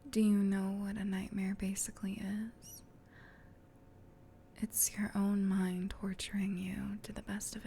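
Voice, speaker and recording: A gentle, caring female sleep paralysis demon, acted by a woman whispers softly in a comforting ASMR roleplay. Her delivery is slow and even, breathy and tender, close to the mic. A faint low rumble sits behind her. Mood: calm, reassuring. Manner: tender, reassuring, unhurried.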